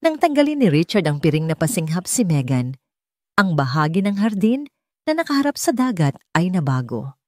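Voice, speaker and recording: A woman's voice: lowish voice, sing-song, normal speed, a little mellow, no room sound. A woman with a Filipino accent reading a scripted romance story as an audiobook narrator.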